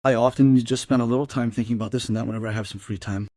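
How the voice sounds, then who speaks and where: A man's voice: medium pitch, steady tone, very fast, dark tone, no room sound, clean studio sound, close to an everyday voice. A man playing an idle, musing provincial police colleague in a crime audio drama.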